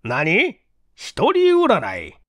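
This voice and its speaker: Man acting a commanding, animated old-school police chief. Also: high-pitched, extremely slow, no echo, pitched above the performer's own voice, heavily acted. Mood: startled, puzzled. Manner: commanding, old-fashioned official speech. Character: authoritative, probing male police chief, gruffly interrogating. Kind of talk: dramatic audiobook character acting.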